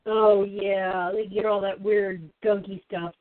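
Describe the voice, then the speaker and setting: A woman co-host chatting casually and explaining things haltingly with many fillers. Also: mid-range voice, level tone, no echo. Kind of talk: casual chat. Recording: tinny phone-like line, dry.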